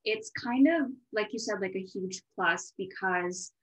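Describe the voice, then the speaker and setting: A woman's voice: mid-range voice, unhurried, roomy sound. A woman hosting a casual interview in a chatty, rambling way, often interrupting herself. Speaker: interview series host.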